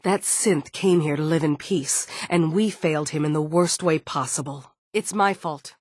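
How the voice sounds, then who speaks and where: A woman's voice: sits low, moderate pace, a little mellow, dry recording, clean studio sound. A woman voicing a stern, guarded android security chief in a video game, firm and businesslike. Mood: regretful. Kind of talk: scripted game dialogue.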